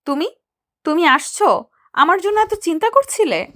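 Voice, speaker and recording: A woman's voice: extremely high pitch, big pitch swings, moderate pace, fairly bright tone, heavily acted. A woman playing a sweet, loving young wife and new mother in a romantic audio story, tender and affectionate. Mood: touched, gentle.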